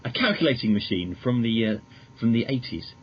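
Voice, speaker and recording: A man's voice: medium pitch, lively intonation, a little fast. A man chatting politely and a little hesitantly as an interview guest. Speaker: podcast guest, magazine editor. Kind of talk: polite casual interview chat. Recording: dull lo-fi recording, background noise.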